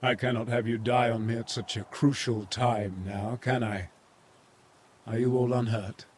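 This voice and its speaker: A man voicing a grave, commanding father god in a video game, measured and authoritative, with a husky voice. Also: mid-range voice, fairly even intonation, a little mellow, a little hiss or hum, natural voice. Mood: measured concern. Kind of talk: dramatic video game acting.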